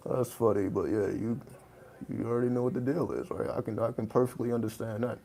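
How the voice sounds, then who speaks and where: A man with a deep voice talking in a laid-back, casual monologue, loose with slang and swearing. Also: steady tone, gravelly, dark tone. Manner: laid-back, profane, streetwise slang, drawn-out phrasing. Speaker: channel host.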